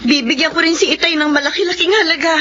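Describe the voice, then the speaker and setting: A woman's voice: very high voice, fast. An actress playing a proud, practical young mother in a radio drama, with an assertive manner. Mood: concerned, brisk. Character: headstrong working young mother, proud, practical, dutiful daughter. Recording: muffled radio broadcast, busy crowd noise.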